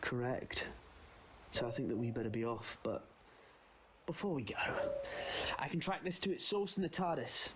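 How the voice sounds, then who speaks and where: A man playing a quirky, quick-witted time traveller in an audio drama, flippant yet assertive. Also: mid-range voice, expressive, a little hiss or hum, natural voice. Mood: pondering.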